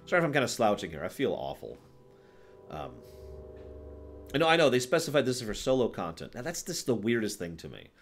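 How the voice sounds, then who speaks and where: A man's voice: mid-range voice, very animated, a little fast, pauses often, faint music behind. A man hosting a livestream, chatting casually about video games in an emphatic, explanatory way, often checking that listeners follow. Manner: emphatic, explanatory, checks understanding with short tag questions. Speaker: livestream host.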